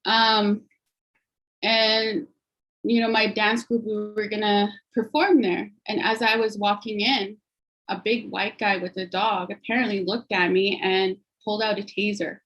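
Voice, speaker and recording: A woman's voice: mid-range voice, fairly even intonation, unhurried, a bit bright, dull audio. Earnest woman speaking on a video call. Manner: earnest, conversational, with restarts. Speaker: guest speaker. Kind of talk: personal testimony at an online panel.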